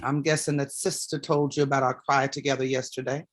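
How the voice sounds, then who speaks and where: A woman's voice: rumbling low, flat delivery, fairly quick. Woman acting a weary, blunt mother. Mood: weary, irritated. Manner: blunt, commanding. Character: weary, proud mother, playwright at odds with her demons. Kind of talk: staged play reading.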